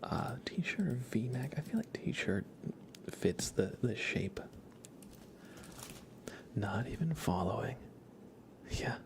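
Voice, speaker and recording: A man livestreaming a game in ASMR style, softly whispering in a relaxed, casual way as he thinks aloud and chats with viewers. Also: medium pitch, takes it slowly, long pauses. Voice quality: soft, breathy whisper.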